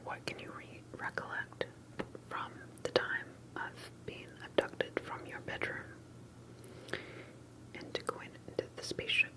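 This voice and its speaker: Someone whispering softly in an ASMR roleplay as an earnest, cautious researcher interviewing with deliberate, halting questions. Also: poor audio. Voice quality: soft breathy whisper. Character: earnest, cautious alien abduction researcher and survivor. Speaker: ASMR performer playing an interviewer.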